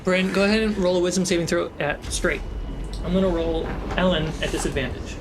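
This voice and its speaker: A man's voice: high-pitched, steady tone, brisk, noisy background. A man hosting and narrating a tabletop game dramatically as dungeon master. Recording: loud cinematic music behind voice. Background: loud music score.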